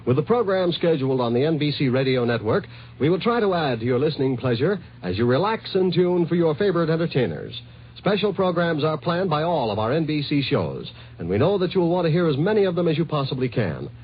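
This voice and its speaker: A man's voice: fairly high pitch, big pitch swings, brisk, breathy edge. A man reading scripted network announcements in a 1950s radio announcing style. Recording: muffled 1950s radio recording.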